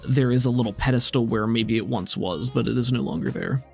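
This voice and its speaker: Male game master, mid-pitched, descriptive and conversational, faint music underneath. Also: fairly quick, vocal fry. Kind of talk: narrating a tabletop role-playing game, conversational.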